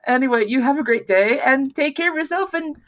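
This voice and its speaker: A woman gives informal feedback as a voice actor at a script reading, speaking in a halting, rambling way with frequent pauses. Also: high-pitched, fairly bright tone.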